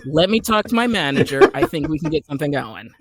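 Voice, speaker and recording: A woman's voice: low voice, very animated, fast talker, crowd noise. An American woman speaking as a podcast guest in a casual interview, emphatic and wry. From Utah, United States. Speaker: guest actor and screenwriter.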